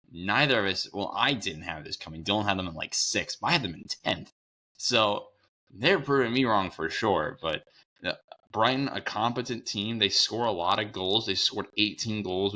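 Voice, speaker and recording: A young man with a hoarse voice from a cold, hosting a casual sports preview show, rambling and correcting himself as he goes. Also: deep voice, pushed and bright. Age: young adult. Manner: rambling, self-correcting, upbeat.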